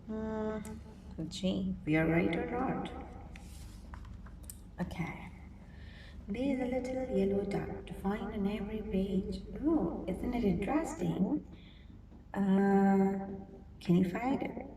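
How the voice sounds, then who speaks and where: A woman reading a picture book aloud to young children, expressively, pausing to think aloud and ask them simple questions. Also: mid-range voice. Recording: roomy, distant mic, clear background noise.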